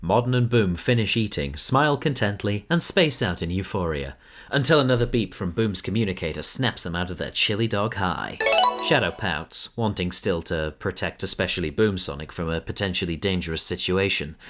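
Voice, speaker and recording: A man's voice: deep voice, lively intonation, normal speed, fairly bright tone, faint music behind. A man reading scripted narration for a fan audio drama.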